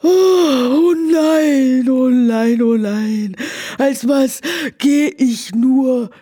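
A woman's voice: sits high, drawn-out words, warm tone, no echo, studio quality, exaggerated voice. A woman voicing a fretful horse who moans and frets dramatically about what to dress up as. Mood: fretful wailing.

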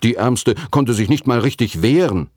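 Man voicing an excitable, higher-pitched storybook schoolgirl. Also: moderate pace, no room sound, studio quality, lightly acted. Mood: eager telling. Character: schoolgirl telling tall tales, earnest and cheeky. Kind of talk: children's storybook reading.

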